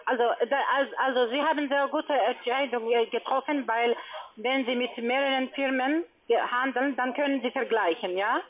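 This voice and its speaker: Saleswoman on a tinny phone line. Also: high-pitched. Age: 40s. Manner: questioning, simple halting phrasing. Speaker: telephone sales agent for an investment scheme. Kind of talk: conversational phone sales talk. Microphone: telephone.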